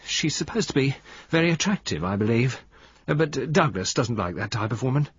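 A man's voice: on the high side, very animated, moderate pace, slightly rough, no room sound, pitched above the performer's own voice, heavily acted. A man voicing an anxious young wife who sounds childishly bewildered, in a dull, dry recording. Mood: cold.

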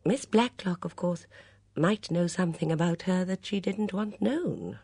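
A woman voicing a shrewd, gentle elderly English spinster in an audiobook, with a mild, absent-minded murmur. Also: on the low side, lively intonation, measured pace, creaky, breathy edge, voice pushed up, heavily acted. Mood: gently explaining.

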